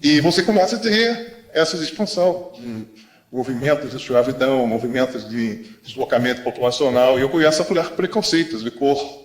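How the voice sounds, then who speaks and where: A man's voice: mid-range voice, moderate pace. Brazilian man lecturing in an echoey room. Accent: Brazilian Portuguese. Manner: rambling, restarts and asides, rhetorical questions. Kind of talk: unscripted explanatory lecture.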